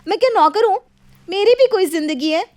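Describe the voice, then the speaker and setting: A woman's voice: very high-pitched, lively intonation, brisk, darkish tone, no room sound, voice pushed up. A woman voicing a sensible, independent young wife who talks in a firm, scolding, assertive way in a story. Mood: exasperated, scolding.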